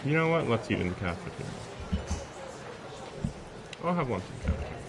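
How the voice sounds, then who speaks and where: A man's voice: medium pitch, sing-song, brisk, creaky, noisy background. A man live streaming a visual novel, reading the text aloud and dropping in casual, sarcastic asides. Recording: music behind the voice. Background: game music.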